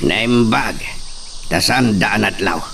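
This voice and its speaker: A man's voice: lowish voice, takes it slowly, gravelly, pushed and bright, far from the mic, a little hiss or hum, heavily acted. A man playing a firm, commanding and protective father in an Ilocano drama, with a Filipino Ilocano accent. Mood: grave, decisive. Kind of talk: Ilocano radio-style drama acting.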